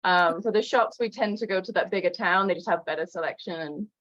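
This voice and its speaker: A woman's voice: fairly low pitch, a little fast, a bit bright. Easygoing woman on a muffled call. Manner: easygoing, says like and uh often. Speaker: community resident. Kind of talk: casual chat on a video call. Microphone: video call. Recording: dull lo-fi call audio.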